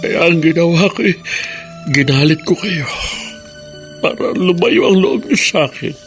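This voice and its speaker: A man's voice: a little high, a little slow, soft background music, lightly acted. An actor playing a stubborn, sorrowful elderly widowed father in a Filipino radio drama. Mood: pained, confessing. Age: older.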